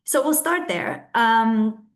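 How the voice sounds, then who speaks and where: A young woman from Romania giving a webinar talk to students in an earnest, thoughtful way, often pausing with "um", over a video call. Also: a little high, lively intonation. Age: young adult. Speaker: webinar speaker.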